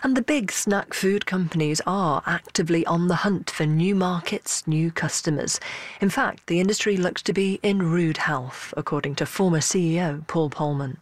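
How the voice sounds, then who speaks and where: A woman presenting a radio programme, narrating and interviewing in a crisp, playful way. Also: on the low side, normal speed, no echo.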